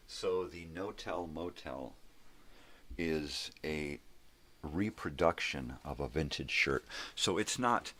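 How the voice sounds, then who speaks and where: A man's voice: extremely low pitch, slow pace, a bit bright, slight background noise. An older man rambling casually and unhurriedly as he hosts a morning vlog, repeating words for emphasis. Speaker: host of a daily morning vlog.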